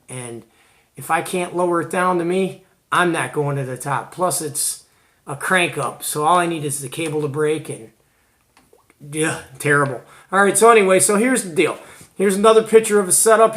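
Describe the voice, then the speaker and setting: A man's voice: fairly high pitch, fairly bright tone. A man hosting a hobby show, giving casual, chatty show-and-tell commentary in informal, slangy language. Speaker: host of a CB radio hobby show.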